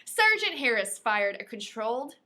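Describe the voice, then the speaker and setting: A woman co-hosting a podcast, reading a novel aloud in an animated, playful way and breaking off for casual banter. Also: a little high, normal speed. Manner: playful, dramatic, often breaks off to comment.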